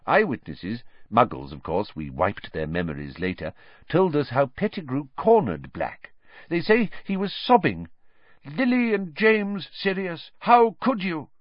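A man's voice: fairly high pitch, big pitch swings, measured pace, no echo, muffled recording, pitched above the performer's own voice. A man reading an audiobook and voicing a fussy, self-important middle-aged wizard minister who talks in a pompous, rambling way. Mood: grave. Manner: pompous, anecdotal, then evasive.

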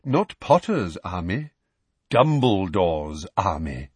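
A male narrator puts on an elderly headmaster for an audiobook. He is serene and gently amused, unfailingly polite, with a lilting and slightly husky delivery in a very dry recording. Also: low-pitched, big pitch swings, takes it slowly, no room sound, exaggerated voice. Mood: amused, mild. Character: serene, wry, courteous old male headmaster.

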